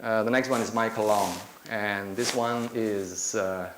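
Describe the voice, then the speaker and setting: A middle-aged man from Indonesia, a native Indonesian speaker, giving a conversational lecture to teachers, with many filler pauses, holding a handheld mic in a lecture room. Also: fairly low pitch, steady tone. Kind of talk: conference talk. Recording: echoey room with faint noise.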